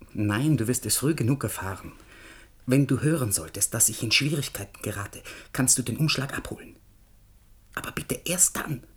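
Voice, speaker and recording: A man's voice: mid-range voice, slightly rough. A man playing a determined, idealistic scientist in a German radio drama, speaking in an insistent, articulate way. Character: determined, idealistic male scientist, stubborn and defiant.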